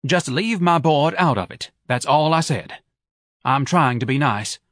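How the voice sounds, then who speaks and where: A man's voice: fairly high pitch, animated, a little slow, a bit bright, no echo, studio quality, voice pushed up. A man doing a sarcastic nagging wife. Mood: needling. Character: needling wealthy middle-aged wife, nagging.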